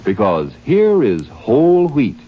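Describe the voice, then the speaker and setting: A man's voice: mid-range voice, wildly swooping pitch, slow pace. A man reading a folksy, upbeat 1950s radio commercial. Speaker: commercial announcer. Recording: dull vintage radio recording.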